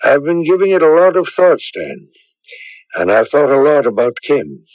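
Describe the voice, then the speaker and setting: A man playing a grave, thoughtful priest in a radio drama, speaking in a measured, probing way. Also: on the high side, sing-song, no room sound. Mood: solemn, intent. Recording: muffled old radio broadcast.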